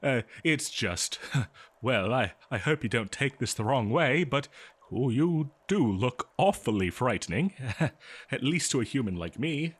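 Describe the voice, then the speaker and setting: A man playing a courteous, eager and nervous scholar in a fantasy audio roleplay, speaking politely and haltingly to the listener. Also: mid-range voice, animated, slightly rough. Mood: startled, nervous. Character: eager, nervous, courteous male human professor of ancient civilizations.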